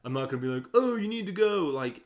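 A man's voice: sits high, big pitch swings, fast. A man hosting a podcast in casual chat, talking in an opinionated, emphatic way and swearing freely. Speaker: podcast host.

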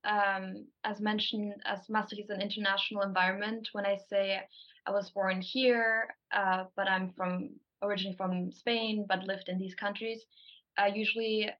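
A young woman from Germany answers as a podcast guest, pausing often and filling gaps with small hesitations. Her pitch barely moves, and the call audio sounds dull and muffled. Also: flat delivery. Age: young adult. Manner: thoughtful, hesitant, many fillers. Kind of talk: casual conversation.

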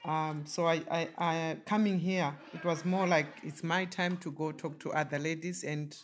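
A woman's voice: deep voice, fairly even intonation, normal speed. A woman, a parent speaking hesitantly in an interview. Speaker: parent in a mothers' group. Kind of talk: interview answer. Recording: faint background noise.

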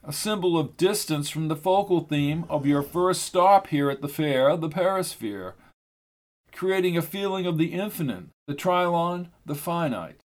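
Davenport, a man doing a crisp formal fair official. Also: a little high, lively intonation, measured pace, pitched above the performer's own voice. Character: stiff formal male fair official, clipped and commanding.

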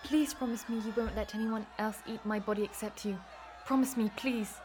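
A woman's voice: on the high side, fairly even intonation, a little fast, darkish tone, faint music behind, lightly acted. An actress playing a desperate, starving woman on a death march in a radio play, insistent and pleading.

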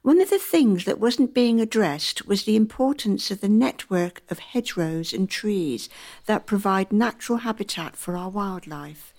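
Woman acting in a radio play, proud earnest local campaigner type, medium pitch. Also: animated, moderate pace, a little mellow, lightly acted. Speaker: community theatre actor.

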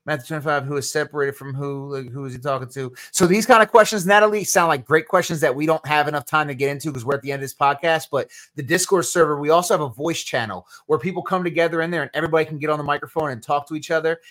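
A man's voice: a little high, quick pace, hardly any pauses, fairly bright tone. Casual New Jersey man answering chat questions. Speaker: podcast host.

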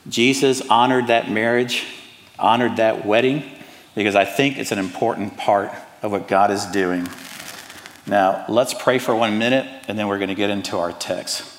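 A middle-aged man from Cuba, raised in the American South, with a Southern accent, preaching an earnest and personal sermon, often pausing on "uh". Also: lowish voice, fairly even intonation, big-room sound, a little hiss or hum. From Cuba, raised in Atlanta. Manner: earnest, personal, with frequent "uh" fillers. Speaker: pastor. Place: church sanctuary.

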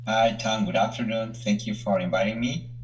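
Male guest in his 40s, Salvadoran Spanish accent, deep and measured. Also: fairly even intonation, a little hiss or hum, low-quality recording. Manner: measured, searches for words. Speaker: university professor, guest. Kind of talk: interview answers.

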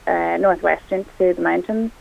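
Kerry woman on the phone, irish speaker, flat gravelly voice, tinny line. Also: fairly low pitch, normal speed. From Ireland. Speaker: phone-in guest. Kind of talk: interview answers.

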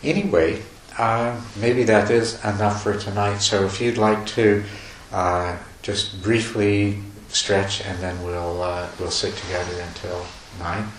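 A man giving a meditation talk to a retreat group, talking loosely with many restarts and soft chuckles. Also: on the low side, fairly even intonation, unhurried, hardly any pauses. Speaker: meditation teacher. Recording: echoey room, faint noise.

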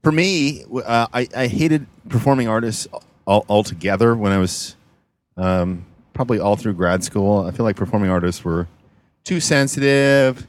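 A comedian talking while he drives, in a dry, deliberate manner with frequent pauses. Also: fairly low pitch. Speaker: comedian guest. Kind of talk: casual chat. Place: driving a car.

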